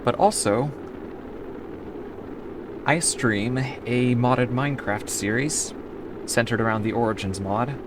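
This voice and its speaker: A woman's voice: very deep voice, slow, pauses often, noisy background. A woman from the United States streaming a game and chatting casually with her viewers, easygoing and rambling as she answers chat between moves.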